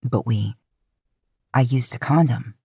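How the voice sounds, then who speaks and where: A woman voicing a gruff, earnest young male firefighter in a fan fiction audiobook, with a halting, drawling delivery. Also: mellow, dry recording, studio quality, exaggerated voice. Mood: stunned. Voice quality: deep drawl.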